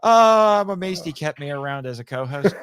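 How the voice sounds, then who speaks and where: A talkative, animated man chatting casually as a podcast guest and often amused. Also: on the high side, a little hiss or hum. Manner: animated, long-winded, laughs often. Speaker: podcast guest, audio drama writer and voice actor. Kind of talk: casual interview chat.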